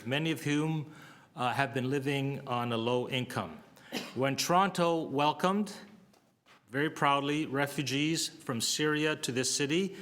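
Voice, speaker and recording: A man's voice: mid-range voice, fairly bright tone. A man presenting a public health program at a city board meeting, reading prepared remarks with occasional fillers and restarts. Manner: reads prepared text with fillers. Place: council meeting room.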